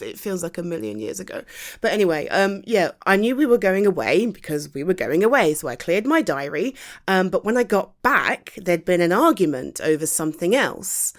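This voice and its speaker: A woman with a British accent playing a caring, tired girlfriend home from the office, talking in a soothing, chatty, hesitant way in an intimate ASMR roleplay. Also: on the low side.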